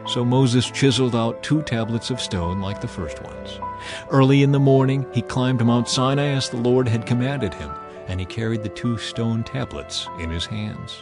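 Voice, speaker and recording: Low-voiced man narrating scripture over music. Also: normal speed. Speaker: audiobook narrator.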